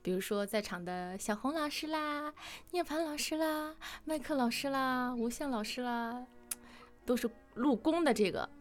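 A woman's voice: sits high, expressive, moderate pace, faint music behind. A woman hosting a casual interview chat in a playful, giggly manner. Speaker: host.

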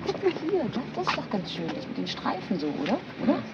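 A woman's voice: on the high side, very animated, fast, far from the mic. A woman playing an emotional, exclamatory young partner in naturalistic radio-play scenes. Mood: coaxing. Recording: muffled, very noisy amateur-style tape.

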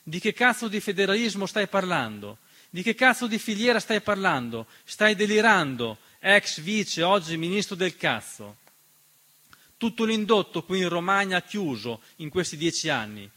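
A man's voice: sits high, sing-song, normal speed, sharp tone. A man performing a scripted theatrical monologue as narrator, declamatory and accusatory, full of rhetorical questions. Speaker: stage narrator and writer-performer.

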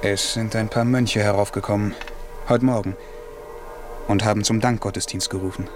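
A man's voice: lowish voice, fairly even intonation, moderate pace, music in the background, close to an everyday voice. An actor playing a guilt-ridden, devoted young man in a 1950s German radio drama, speaking with hesitant tenderness. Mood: subdued.

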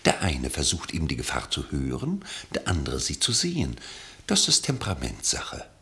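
A man narrating a radio play from a literary script in a measured, dryly ironic way. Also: very deep voice, huge pitch swings, pushed and bright.

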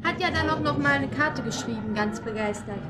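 A woman's voice: sits high, a little fast, close to an everyday voice. An actress playing a chatty, helpful woman in a pub, in a naturalistic radio drama. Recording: clear music behind.

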